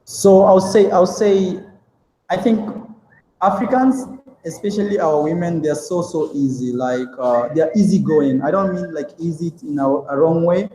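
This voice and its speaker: A man's voice: on the high side, a little slow, warm tone, a little hiss or hum. A young man, a guest telling stories in a casual interview, rambling with lots of fillers and restarts. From Kenya. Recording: echoey room with long reverb.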